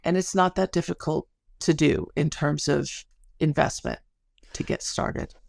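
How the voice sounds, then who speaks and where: A woman's voice: sits low, fairly even intonation, measured pace, gravelly, a little mellow, dry recording, clean studio sound. A middle-aged American woman explaining her research as an interview guest in an informal, articulate, storytelling way. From Chicago area, United States.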